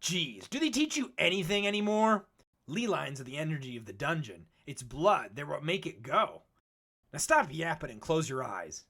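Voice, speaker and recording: Actor as veteran creature adventurer, brash, needling, slangy, cynical mentor, medium pitch, cartoon dub. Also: very animated, normal speed, a bit bright, slightly rough, full character voice. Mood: impatient mentoring. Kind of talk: animated comic dub acting.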